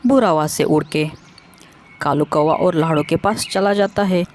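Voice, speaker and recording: A woman reading scripted narration for a children's animated story. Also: deep voice, normal speed.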